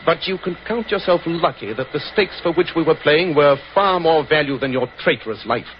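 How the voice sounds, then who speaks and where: An eloquent British detective played by a man in a 1940s radio play. His delivery is commanding and lofty, with very animated intonation. The recording is muffled, with clear background noise. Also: a little high, fairly quick, close to an everyday voice. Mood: stern, resolute. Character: brilliant, commanding, eloquent male English detective. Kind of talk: 1940s radio drama acting.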